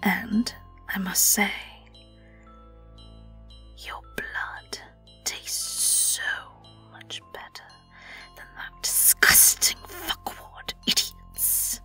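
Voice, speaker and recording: A woman playing a sly, vengeful vampire in an audio roleplay, with a British accent, a breathy, often whispered voice and a taunting, theatrical delivery. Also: medium pitch, steady tone, drawn-out words, pushed and bright, faint music behind. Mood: savouring, sly. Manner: taunting, theatrical, lingering on words. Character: vengeful young female vampire, sly, gloating and predatory. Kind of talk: dramatic audio roleplay monologue.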